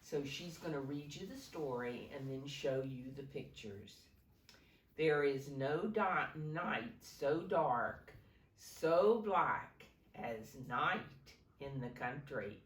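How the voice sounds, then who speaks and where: Slow, low-pitched woman reading to preschoolers. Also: lively intonation. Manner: simple, instructive, often questioning the listeners. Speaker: preschool teacher reading aloud. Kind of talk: storytime reading and simple explaining for preschoolers. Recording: roomy, distant mic, faint noise.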